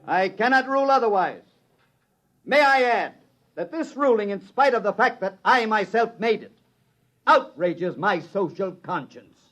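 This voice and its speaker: An actor playing a solemn, troubled judge in 1950s radio drama, speaking in formal, weighty oratory. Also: male voice, high voice, expressive, a little slow. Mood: grave, troubled. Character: solemn, troubled male judge delivering a reluctant ruling. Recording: dull vintage radio broadcast recording.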